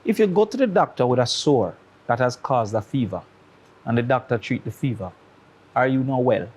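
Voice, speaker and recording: A man's voice: medium pitch, animated, moderate pace, a little mellow, breathy edge. A man with a Jamaican accent, a talk-show guest who argues his case with analogies and rhetorical questions. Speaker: studio guest. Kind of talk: opinionated talk-show commentary.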